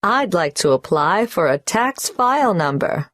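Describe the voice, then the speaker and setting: A woman's voice: lowish voice, big pitch swings, a little slow, a bit bright, no room sound. A woman playing a migrant applying for a tax number in a scripted exam dialogue, answering carefully and hesitantly. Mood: earnest.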